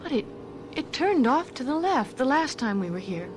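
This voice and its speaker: An actress playing a worried, frightened young daughter in a radio mystery drama, with anxious pleading delivery. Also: female voice, high-pitched, sing-song, normal speed, over music. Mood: frightened, uncertain.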